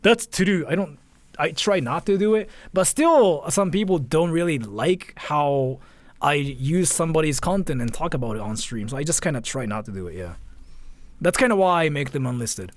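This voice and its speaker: A man live streaming casual reaction commentary, talking in a halting, repetitive, informal way with some mild swearing. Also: a little high, animated, dry recording.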